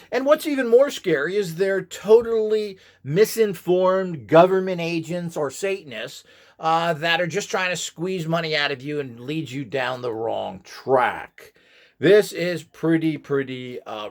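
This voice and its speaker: A man giving an unscripted, explanatory instructional talk in an opinionated, dismissive and somewhat halting manner. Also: on the high side. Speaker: instructor presenting a how-to video.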